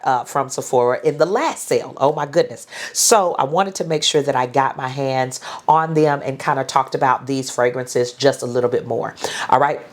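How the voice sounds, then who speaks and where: A middle-aged woman with a stuffy, congested nose, chatting through a fragrance haul review with lots of ums and restarts. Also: very deep voice. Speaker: beauty and fragrance vlogger. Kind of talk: casual haul review to camera.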